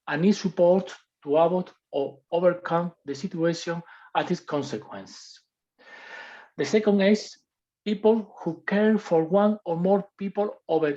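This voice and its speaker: A Spanish-accented woman with a lowish, mellow voice reads a prepared list as a panelist in an online workshop. She stumbles and restarts over English words. The audio is muffled and lo-fi, as on a video call. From Spain.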